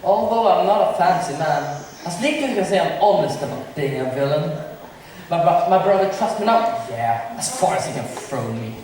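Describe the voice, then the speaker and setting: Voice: mid-range voice, animated, normal speed, a little mellow, close to an everyday voice. An amateur stage performer playing a gloomy, resentful villain, the brother of a prince, with bitter, declamatory delivery. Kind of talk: amateur stage acting of modernized Shakespeare. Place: large echoey room, school drama performance. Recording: distant, very echoey hall, faint noise.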